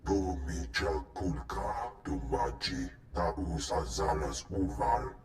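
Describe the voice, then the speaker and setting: Greedy alien crime lord for a game cutscene. Low, unhurried, scheming, talking in an invented tongue. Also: male voice, reverberant, a little hiss or hum. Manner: slow, deliberate delivery in an invented alien language. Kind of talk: video game cutscene acting.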